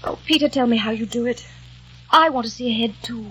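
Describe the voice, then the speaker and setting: A woman's voice: a little high, a little fast, a bit bright, slightly rough, poor audio. An actress playing an adoring young woman in an old radio drama, speaking eager and pleading. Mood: entranced. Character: young woman, devoted dreamy sister. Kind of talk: 1940s radio acting.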